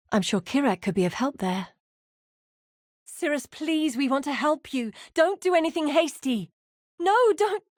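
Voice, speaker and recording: A woman's voice: sits high, no echo, clean studio sound. An actress voicing a weary, earnest woman who leads exiled warriors in a video game, speaking in a grave, articulate manner. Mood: businesslike, then urgent, alarmed. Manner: measured, grave, articulate storytelling. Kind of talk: scripted video game voice acting.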